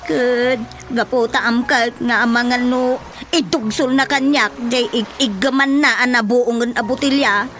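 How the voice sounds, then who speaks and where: An actress playing a distressed, complaining village wife with an apologetic manner in a radio comedy drama. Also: female voice, on the high side, fairly even intonation, measured pace, fairly bright tone, soft background music. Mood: aggrieved, explaining.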